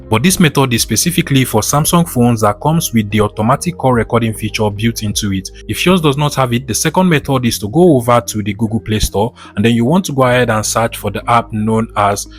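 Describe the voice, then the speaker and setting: Man, low voice, tutorial voice-over, methodical step-by-step instruction, light music behind. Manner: methodical, instructional, repeats set phrases. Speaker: tutorial host.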